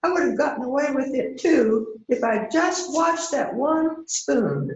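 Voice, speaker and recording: A woman's voice: high voice, animated, unhurried, darkish tone. A woman playing a polite, prim and coolly unrepentant murderous hostess in an amateur mystery reading. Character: polite, coolly unrepentant wife hosting a dinner party, a murderer. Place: indoors on a virtual event call. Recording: very echoey, dull video call audio.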